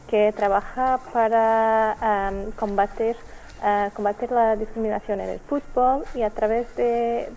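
Woman, Portuguese accent, native Portuguese, relaxed mellow timbre, slightly high, careful halting interview answers, some background noise. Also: poor audio. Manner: halting, careful, searching for words. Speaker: NGO representative being interviewed. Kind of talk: explanatory radio interview answers.

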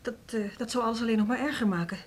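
A woman playing a timid, downcast music teacher in a radio drama, speaking softly and haltingly, almost whispering. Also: on the high side, close to an everyday voice. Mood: meek, troubled. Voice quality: soft, breathy, near whisper. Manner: hesitant, halting. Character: timid, downcast female recorder teacher, quietly insistent.

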